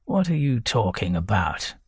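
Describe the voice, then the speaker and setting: A man voicing a weary, querulous, ailing old gentleman in an audiobook. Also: on the low side, sing-song, measured pace, husky, dry recording, studio quality, heavily acted. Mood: guarded. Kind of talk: audiobook character voice.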